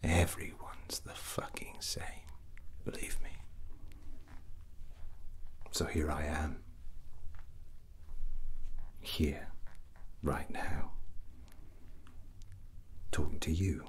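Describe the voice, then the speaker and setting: A man playing a drunken, brooding, scheming fantasy prince in a whispered ASMR roleplay, halting and musing with a breathy, hushed voice. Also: very deep voice, flat delivery, full character voice. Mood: bored, jaded, then musing, persuasive. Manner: slow, halting, musing, with long pauses.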